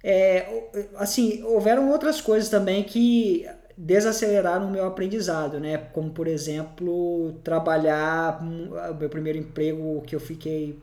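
A man's voice: high-pitched, fairly even intonation, normal speed, hardly any pauses, a little mellow. Hesitant man talking in an echoey room. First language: Portuguese. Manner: hesitant, many restarts and fillers. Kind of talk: casual conversation.

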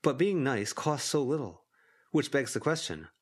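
A man's voice: medium pitch, sing-song, moderate pace, slightly rough, pitched above the performer's own voice. A man reading the part of a taunting, condescending teenage schoolboy in an audiobook, with a smug, needling manner.

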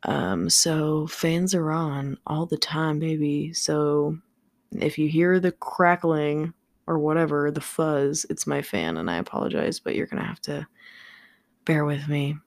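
Tired young woman, low and slow. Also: creaky, no room sound, studio quality. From Southern California. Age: young adult. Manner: rambling, swears freely, many ums. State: tired, slightly raspy late at night. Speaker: podcast host. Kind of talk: casual solo podcast chat. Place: home room with a fan running. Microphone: studio microphone without pop filter.

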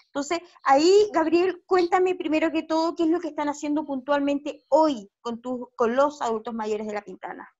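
A high-pitched woman's voice, heard through dull, lo-fi call audio. She interviews conversationally, building long, roundabout questions with many small asides. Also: normal speed, a little mellow.

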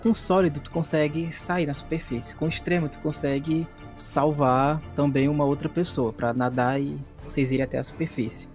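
A man's voice: fairly high pitch, fairly even intonation, moderate pace, hardly any pauses, darkish tone, soft background music. A man with a Brazilian accent running a tabletop horror game, moving between dramatic narration and casual refereeing. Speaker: game master.

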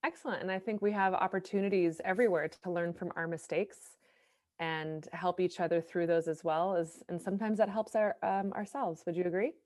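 Woman, slightly low voice, podcast host, conversational interviewing. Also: steady tone, brisk, a little mellow.

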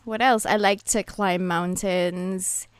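Young woman, middle pitch, earnest and rambling podcast co-host, close dry mic. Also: fairly even intonation, slow, clear, resonant tone, no echo. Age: young adult. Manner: earnest, rambling, with many fillers. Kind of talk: casual chat.

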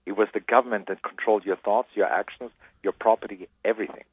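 A man's voice: fairly low pitch, steady tone, fast, slightly rough, no room sound. A man from Austria, a guest speaking emphatically on a radio interview over the phone. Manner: emphatic, preaching conviction. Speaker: guest author. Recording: tinny phone line.

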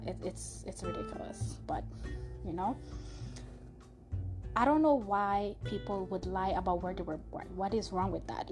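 A young woman whose first language is French tells a personal story in a loose, chatty way, full of fillers and restarts. She speaks with a light French accent at a middle pitch. Music plays clearly underneath her. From Canada (Montreal). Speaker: podcast host.

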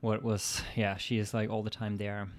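A man hosting a casual interview show from his kitchen, asking questions in a relaxed, hesitant way with many fillers. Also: on the low side, normal speed. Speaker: host. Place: home kitchen.

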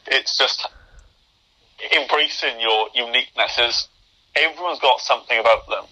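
Young man, stammering speech, very bright sharp timbre, average pitch, remote call audio. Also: moderate pace, poor audio. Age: young adult. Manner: stammers, with repeated starts and blocked words. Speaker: podcast guest. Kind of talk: interview conversation.

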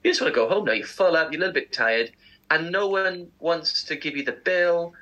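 A man's voice: fairly high pitch, brisk, pushed and bright, husky, lo-fi sound. A man co-hosting a chat show, telling rambling, humorous anecdotes in a casual style. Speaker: co-host presenting segments.

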